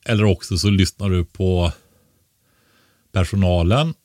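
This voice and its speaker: A man's voice: sits low, warm tone, dry recording, clean studio sound. A man talking casually and giving explanatory advice as an expert podcast co-host, often tagging a question onto the end of his points.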